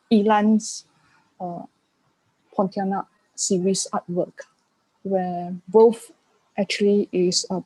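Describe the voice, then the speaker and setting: A woman giving reflective answers as an interview guest, haltingly searching for her words. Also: mid-range voice, dark tone, no echo, poor audio. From Malaysia. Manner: halting, searching for words in non-native English. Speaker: art curator and panel guest.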